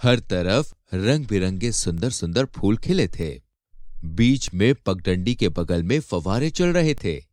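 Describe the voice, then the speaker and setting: Slow, animated man storyteller. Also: fairly low pitch, no echo, clean studio sound. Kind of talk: scripted children's moral story narration.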